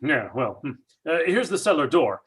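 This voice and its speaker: A man's voice: a little high, sing-song, normal speed, fairly bright tone, husky, heavily acted. An actor playing a skeptical father in an old-time radio drama, brash and dismissive, with lots of stammered fillers. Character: blustering skeptical father, middle-aged house owner.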